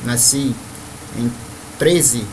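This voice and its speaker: A man in his fifties from northeastern Brazil, telling his spiritual life story to viewers at an unhurried pace with frequent tag questions. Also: medium pitch, background noise. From Maranhão, Brazil. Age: 50s. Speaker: spiritual leader.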